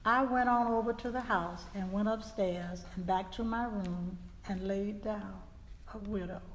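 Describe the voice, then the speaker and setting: A woman's voice: medium pitch, steady tone, slight background noise, close to an everyday voice. A woman playing a grieving 19th-century widow, recounting events solemnly in a dramatic reading. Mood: sorrowful, subdued. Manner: slow, deliberate, solemn storytelling. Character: grieving widow recounting her husband's murder, 1875 Mississippi. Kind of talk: dramatic reading of historical testimony. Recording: reverberant hall.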